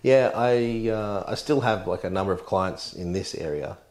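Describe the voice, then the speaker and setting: Deep-voiced Australian man in his thirties. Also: moderate pace, a little mellow. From Australia, born in the Blue Mountains, grew up on the mid-north coast of New South Wales. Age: 30s. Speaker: interview guest, insurance adviser. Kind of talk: casual conversational interview.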